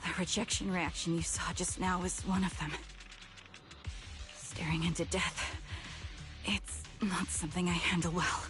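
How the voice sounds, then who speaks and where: An actress voicing a calm, solemn young woman in a video game, speaking tersely. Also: fairly low pitch, a little slow, over music, natural voice. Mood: subdued. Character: calm young woman with troubled, useful eyes. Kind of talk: game voice acting.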